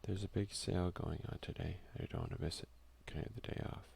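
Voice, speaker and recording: A man doing a soft-spoken, unhurried ASMR game playthrough, reading text aloud with casual asides. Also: low-pitched, steady tone, gravelly, breathy edge. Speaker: let's play streamer.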